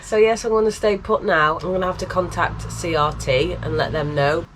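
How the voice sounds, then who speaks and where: A woman's voice: on the low side, steady tone, normal speed, a little hiss or hum. A woman vlogging about her life on a narrowboat, chatty and self-mocking, laughing often and sounding bunged up with a cold. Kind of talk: casual vlog chat. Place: aboard and around a narrowboat on a canal.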